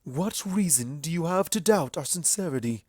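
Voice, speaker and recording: A man's voice: a little high, expressive, dry recording, studio quality, pitched above the performer's own voice. A man voicing an earnest, regal female pony princess of the night in a story reading, stately and declamatory. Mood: challenging. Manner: stately, declamatory, slow and measured. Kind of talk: dramatic storybook character reading.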